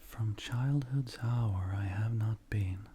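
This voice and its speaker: A man with a soft, breathy, whispering voice, reading poetry gently in an unhurried, measured ASMR style. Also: fairly low pitch. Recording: faint background noise.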